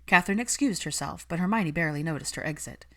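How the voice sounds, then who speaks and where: A woman's voice: deep voice, lively intonation, fast talker, bright, forceful tone. A woman reading scripted fiction aloud as an audiobook narrator.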